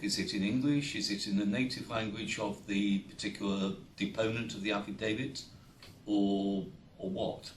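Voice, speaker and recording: Low-voiced solicitor asking from the floor. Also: male voice, slight background noise. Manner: polite, apologetic, persistent follow-ups. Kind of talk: questions at a lecture. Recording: echoey room, off-mic.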